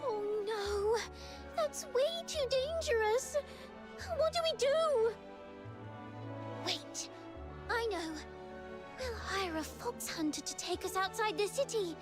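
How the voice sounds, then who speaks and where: A woman's voice: very high voice, slow pace, long pauses. A woman voicing an anxious, earnest young schoolgirl in a video game, with a girlish voice. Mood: anxious, pleading. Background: background music.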